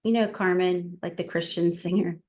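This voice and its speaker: Thoughtful woman in her forties, lowish voice. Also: fairly even intonation, normal speed, a little mellow. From Queens, New York. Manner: thoughtful, hesitant, many restarts and fillers. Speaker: interview guest. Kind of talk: casual interview.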